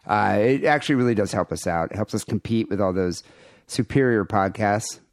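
A man's voice: on the low side, brisk, no echo, clean studio sound. A man co-hosting a podcast, rambling casually with crude, irreverent jokes and show plugs. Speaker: podcast co-host.

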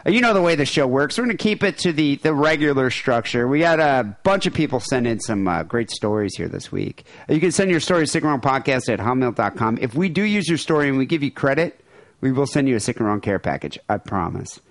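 A man's voice: mid-range voice, sing-song, fast, dry recording. A man hosting a casual podcast, talking in a loose, joking way with plenty of fillers. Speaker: podcast host.

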